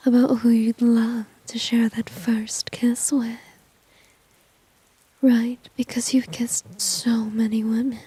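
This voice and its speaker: A woman playing a flirty, dominant villain in a whispered ASMR roleplay, teasing slowly and coyly with mocking condescension. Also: a little high, no echo, heavily acted, rough recording. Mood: smug, seductive. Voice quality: soft, breathy whisper. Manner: slow, coy, mocking, drawn-out teasing.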